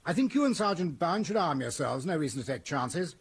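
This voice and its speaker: A commanding police superior in a radio play. His voice is fairly high and husky, with lively inflection and measured authority. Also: heavily acted. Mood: concerned authority. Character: brisk, authoritative male senior police chief. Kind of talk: radio drama acting.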